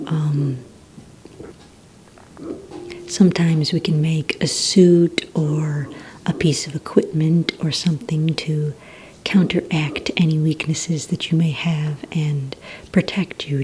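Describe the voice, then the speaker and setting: Whispering, low, mellow woman in soft ASMR roleplay. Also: slight background noise, poor audio. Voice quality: breathy, mostly whispered. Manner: gentle, hesitant, unhurried questioning. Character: polite, encouraging villainous receptionist woman, calm and businesslike. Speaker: ASMR roleplay performer as a villain recruitment receptionist.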